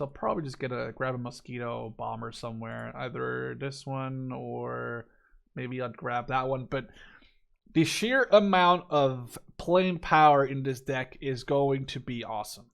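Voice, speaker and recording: A man's voice: medium pitch, gravelly. A man in his twenties giving casual, enthusiastic and opinionated game review commentary, with some casual swearing. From Netherlands. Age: 20s. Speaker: gaming video creator.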